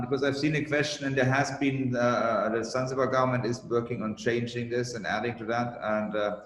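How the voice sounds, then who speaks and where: A man on a webinar panel, explaining a legal matter in measured, formal wording. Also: on the low side, fairly bright tone. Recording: echoey room with long reverb.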